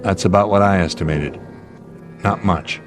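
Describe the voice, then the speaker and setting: A deep-voiced American airline captain played by a male actor in an audio drama. He reasons calmly under pressure, deliberate and authoritative, explaining each point, with music behind him. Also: lightly acted. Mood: grim, resolute.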